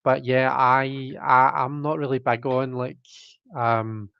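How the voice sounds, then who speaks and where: A man's voice: mid-range voice, level tone, takes it slowly, gravelly, clear, resonant tone, no echo, highs cut off. A man hosting a live stream, chatting casually and reading chat, rambling with many fillers and restarts while his throat keeps catching. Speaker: livestream host reading chat.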